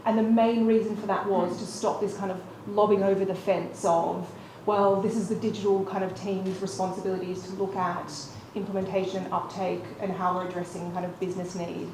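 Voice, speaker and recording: A woman on a panel, answering a question at a brisk, articulate pace. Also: medium pitch, level tone. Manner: brisk, articulate, policy-focused. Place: conference room with audience. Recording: echoey room with background noise.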